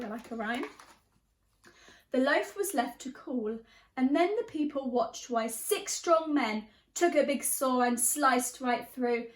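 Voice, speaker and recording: A woman with an expressive, chatty and encouraging way of talking, leading and reading aloud for young children as their teacher. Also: high-pitched. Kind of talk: animated storybook read-aloud and guided exercise.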